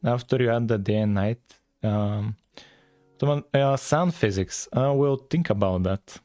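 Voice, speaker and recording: A man's voice: mid-range voice, measured pace, warm tone, no room sound. A man from Bulgaria with a Bulgarian accent, chatting casually and thinking aloud as he livestreams his coding. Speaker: live streamer, game developer.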